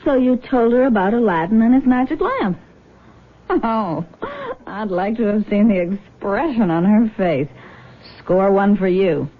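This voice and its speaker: Sweet young daughter, unhurried and very lively, gentle, old radio play. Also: female voice, medium pitch. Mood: curious, sympathetic. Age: young adult. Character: affectionate young woman, devoted daughter and goddaughter. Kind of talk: radio drama acting. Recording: muffled old radio drama recording.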